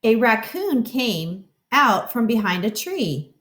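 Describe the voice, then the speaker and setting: Woman storyteller, high voice, very varied intonation, slow expressive read-aloud for children. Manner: expressive, explanatory, addressing children.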